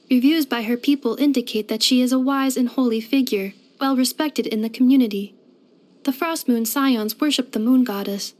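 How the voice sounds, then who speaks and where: Woman acting a polite robot girl, higher-pitched voice, earnest, exact machine-like phrasing, game character lines. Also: a little mellow, close to an everyday voice. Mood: measured, analytical. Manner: formal, precise, measured delivery with clinical machine-like phrasing. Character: polite female robot maid, earnest, gentle and literal-minded.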